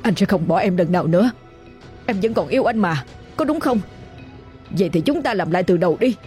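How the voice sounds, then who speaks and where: A woman's voice: medium pitch, expressive, a little fast, mellow, faint music behind, close to an everyday voice. A woman voicing a desperate man who begs his former lover to come back, in a spoken drama story. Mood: begging. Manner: begging, urgent. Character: pleading former male lover, clinging and desperate.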